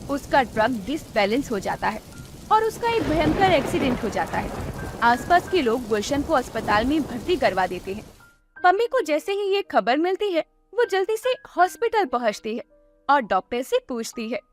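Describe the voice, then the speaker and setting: Female story narrator: high-pitched, expressive scripted delivery over a light music bed. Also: moderate pace.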